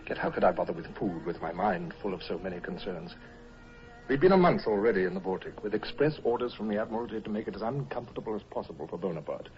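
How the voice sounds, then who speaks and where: A man plays a British naval commodore of old-time radio drama, brooding and brisk. His voice is low and clipped, full of authority. The old recording is muffled, with a little music behind it. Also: expressive, a little mellow, lightly acted. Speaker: actor in a radio serial.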